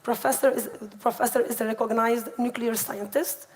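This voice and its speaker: Woman, moderator, formal introduction, polite, fairly high voice. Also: fairly even intonation, normal speed, a little hiss or hum.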